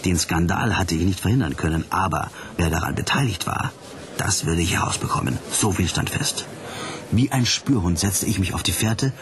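An actor playing a hard-boiled investigator who narrates his case in a radio drama, sardonic and deliberate. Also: deep voice. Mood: suspicious.

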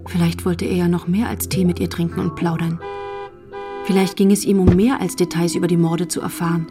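A woman's voice: fairly low pitch, flat delivery, brisk. A woman giving scripted literary narration as the narrator of a radio drama. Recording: music bed under the voice. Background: music.

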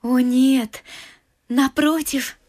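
A woman's voice: sits high, fairly even intonation, extremely slow, darkish tone, slight background noise. A woman playing a sentimental, lovesick young daughter in a classic comedy radio play, breathless and theatrical. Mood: flustered. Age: young adult.

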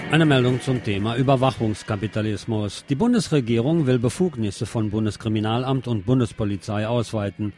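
A man's voice: mid-range voice, a little fast, few pauses, over music. A man presenting scripted news commentary as a radio presenter, with pointed, sardonic phrasing.

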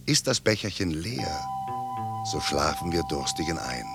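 Wistful radio-play poet, low pitch, unhurried lyrical declamation, clear music behind. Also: male voice, expressive, exaggerated voice. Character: wistful poet sending thoughts across the world. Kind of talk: lyrical radio drama monologue.

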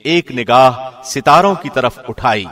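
A man's voice: mid-range voice, lively intonation, fairly bright tone. Man reading scripture formally in long reverb. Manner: measured, formal delivery. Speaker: translation reader, radio host. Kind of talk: scripted scripture translation narration.

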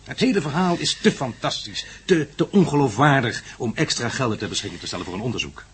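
An actor playing a bureaucratic, patronising university rector in a radio play, formal and unyielding. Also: male voice, medium pitch, animated, fast talker, natural voice. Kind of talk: radio drama acting. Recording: slightly noisy radio play recording.

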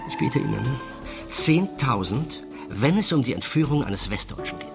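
An actor playing a brisk, hard-bargaining tabloid editor-in-chief in a radio drama, curt, businesslike and self-important. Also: male voice, mid-range voice, big pitch swings, vocal fry, over music. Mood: haggling, amused.

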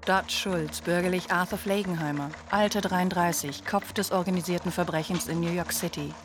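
An actress playing a factual narrator reciting a dossier in clipped items in a radio play. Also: female voice, on the low side, fairly even intonation, moderate pace, music in the background, lightly acted.

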